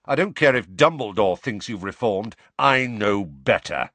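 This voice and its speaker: Hot-headed wizard guy, a man acting him out, loud, aggressive, swings up and down a lot. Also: fairly low pitch, expressive, a little slow, no room sound, full character voice. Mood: furious. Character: hot-tempered grown male wizard, protective.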